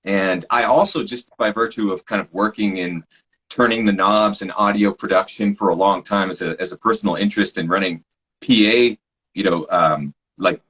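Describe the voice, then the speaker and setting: A man with a deep, slightly husky voice, talking in a relaxed, reflective way over a video call. He projects well, with a trained speaker's control. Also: moderate pace, low-quality recording. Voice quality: low voice. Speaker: podcast host and guest. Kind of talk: casual interview talk.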